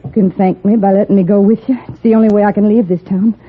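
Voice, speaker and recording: A woman's voice: medium pitch, steady tone, fast, lightly acted. An actress playing a cynical, hard-bitten saloon girl in a 1950s radio Western, blunt and sardonic. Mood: bitter, intent. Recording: muffled, thin 1950s radio broadcast.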